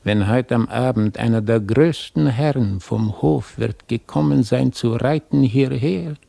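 A folksy bearer of news in a radio drama, servile and full of lament. His gravelly, middle-register voice rambles along in dialect-coloured turns of phrase. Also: medium pitch, a little slow, warm tone. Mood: deferential, sly. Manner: dialect-coloured, inverted folk syntax, rambling and obsequious. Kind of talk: theatrical radio drama acting.

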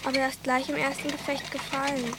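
Village girl, played by a woman, high voice, earnest, protective, childlike frankness, radio drama. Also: little pitch movement, fast, lightly acted. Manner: polite, frank, childlike questioning. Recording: noisy ambience behind dialogue.

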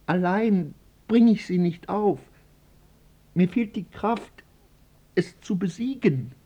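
An actor playing a brooding, self-tormented and ardent stage actor in a radio drama, with intense theatrical delivery. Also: male voice, sits high, slow pace, warm tone, muffled recording. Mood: anguished pleading.

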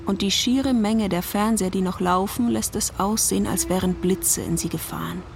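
A woman's voice: medium pitch, normal speed, creaky, slight background noise. A woman giving a scripted dramatic narration in the third person, as the narrator of a stage play.

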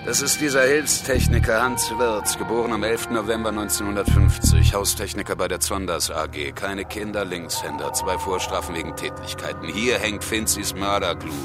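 An actor playing a blunt, sardonic, hard-drinking police investigator in a German radio crime drama. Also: male voice, lowish voice, normal speed, few pauses, close to an everyday voice. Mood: brusque. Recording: dramatic music behind the voice.

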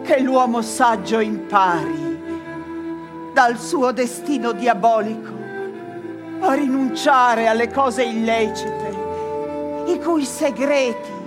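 An actress playing a grave, mourning chorus who moralises in measured verse in a stage tragedy. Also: female voice, a little high. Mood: solemn warning. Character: solemn mourning chorus, moralising over a fallen scholar. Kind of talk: theatrical verse epilogue for radio. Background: dramatic music.

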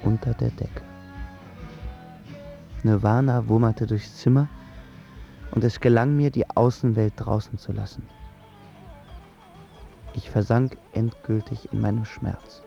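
A heartbroken young man narrating a radio drama. His voice is soft-edged and dark with a gravelly fry, and he talks in slang, wry and sorry for himself. The sound is slightly dull, with music underneath. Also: fairly low pitch, steady tone, unhurried, long pauses. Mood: sullen, brooding. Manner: slangy, wry, self-pitying.

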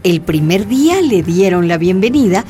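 A woman's voice: fairly low pitch, very animated, moderate pace, dark tone, faint music behind. A woman narrating a scripted radio drama as its storyteller.

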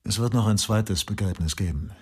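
Radio play: a cool detective, low husky voice, measured. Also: male voice. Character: calm probing police detective.

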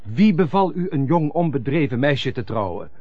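An actor in a radio drama playing a loyal, persuasive friend and go-between, speaking in earnest, formal rhetoric. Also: male voice, medium pitch, sing-song, normal speed, dry recording. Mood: impassioned pleading. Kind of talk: classic European radio drama acting. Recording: dull lo-fi old radio recording.

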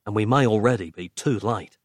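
A man's voice: fairly low pitch, brisk, no echo, clean studio sound, lightly acted. A man voicing a grave, self-important male writer hero in a thriller audiobook, with a drawling, grim delivery.

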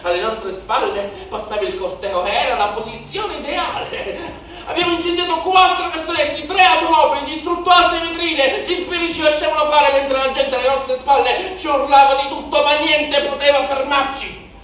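A man's voice: very high-pitched, moderate pace, sharp tone, recorded from a distance. Young male rioter, very echoey hall. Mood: frenzied, exhilarated. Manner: incantatory, repeating phrases in lists. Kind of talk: theatrical stage monologue. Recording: echoey, noisy live theatre recording from afar.

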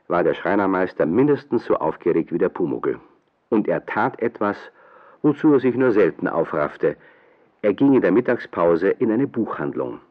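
Very deep male storybook narrator. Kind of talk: scripted children's story narration. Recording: dull, lo-fi radio play recording.

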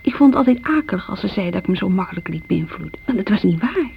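Creaky, guilt-ridden daughter. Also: female voice, mid-range voice, lively intonation, quick pace. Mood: defensive, hesitant. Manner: hesitant, introspective, confessional. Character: guilt-ridden, lonely younger daughter confessing her insecurity. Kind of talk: Dutch radio drama monologue. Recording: muffled, noisy old radio recording.

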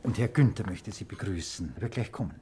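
Brisk, obsequious hotel waiter played by an actor. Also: male voice, sits low, warm tone, slight background noise, natural voice. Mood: attentive, brisk. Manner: eager, deferential. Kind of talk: 1950s German radio drama acting.

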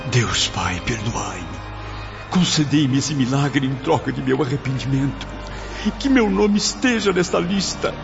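Tormented soul begging for rescue, lively swinging pitch, radio drama, dull lo-fi sound with music. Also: male voice, unhurried, creaky. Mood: pleading. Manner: anguished, pleading. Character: despairing male suicide soul in darkness.

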